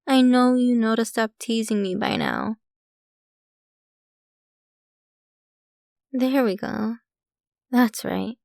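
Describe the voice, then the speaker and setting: Woman voicing a rich ancient vampire girlfriend, highish voice, very dry close sound, sweetly possessive, teasing, ASMR roleplay. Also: steady tone, creaky, a little mellow, no room sound. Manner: sweetly possessive, teasing, unhurried, addressing the listener intimately.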